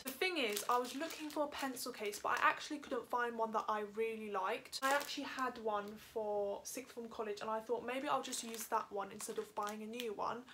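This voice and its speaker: A woman's voice: on the high side, noisy background. A young woman in her late teens, a lifestyle vlogger, chatting upbeat to a camera in her bedroom about what she bought. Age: young adult. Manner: chatty, upbeat, quick asides. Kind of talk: casual haul vlog talk to camera. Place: bedroom, indoors. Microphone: camera on a stand in front of her.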